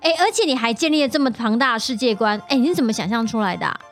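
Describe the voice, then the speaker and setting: A Taiwanese-accented woman chats in a high, animated voice over faint music. She asks questions casually and with curiosity, teasing a little as a podcast interviewer. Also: very fast. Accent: Taiwanese Mandarin. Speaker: guest podcaster asking questions.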